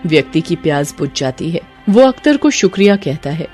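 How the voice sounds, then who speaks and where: A woman's voice: low voice, sing-song, moderate pace, darkish tone, soft background music. A woman giving scripted narration for a cartoon moral story.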